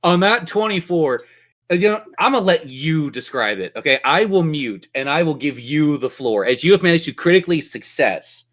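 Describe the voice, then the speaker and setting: A man's voice: on the high side, expressive, moderate pace, fairly bright tone. A man narrating a tabletop fantasy game as game master, with vivid, playful descriptions.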